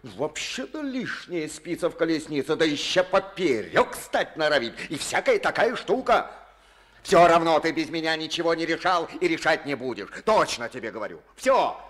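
A man's voice: fairly high pitch, very animated, moderate pace, a bit bright. An actor playing a domineering, folksy Soviet party boss who bosses and mocks his deputy in a radio drama. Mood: dismissive. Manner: bossy, blustering, folksy. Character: blustering domineering male party district boss.

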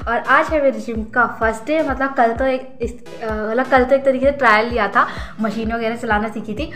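A woman's voice: on the high side, normal speed, a bit bright, faint music behind. A woman, chatting casually to her phone held at arm's length for a vlog. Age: 20s. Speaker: vlogger. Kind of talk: casual vlog chat to camera.

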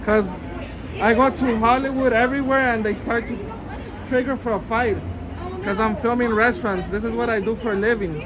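A man's voice: very high-pitched, fairly even intonation, fairly quick. Taunting young man filming on the street. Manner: taunting, laughing, talks to camera. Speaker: street vlogger filming restaurants. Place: busy city sidewalk outside restaurant patio. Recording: noisy outdoor street recording. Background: crowd chatter and street traffic.

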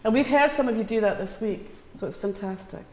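Woman, average pitch, encouraging, children's group leader. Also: brisk, darkish tone. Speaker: children's workshop facilitator. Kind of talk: leading a children's group discussion. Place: large community hall, indoors.

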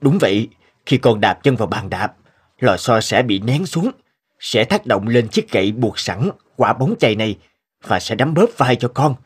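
A man voicing a devoted, determined inventor father in an animated cartoon, speaking earnestly and expressively. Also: medium pitch, normal speed, breathy edge. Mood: encouraging. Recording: music behind the voice.

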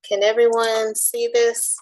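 A middle-aged woman from North Carolina, soft-spoken and measured, presenting research to a coalition over a webcam call from a room at home. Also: fairly high pitch, monotone, rough recording. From Durham, North Carolina. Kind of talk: informational presentation on a video call. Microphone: video call webcam.

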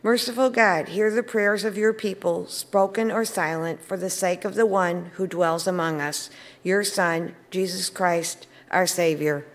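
A woman serving as lay reader at the lectern of a large church sanctuary, reading intercessory prayers at a clear, even pace. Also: on the low side, unhurried. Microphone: lectern mic, far camera. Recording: long church reverb.

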